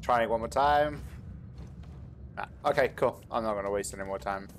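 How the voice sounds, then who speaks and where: A man's voice: on the low side, moderate pace. A man giving casual, playful, slangy commentary while he plays a video game. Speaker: gaming content creator. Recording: game noise behind the voice. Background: video game sounds.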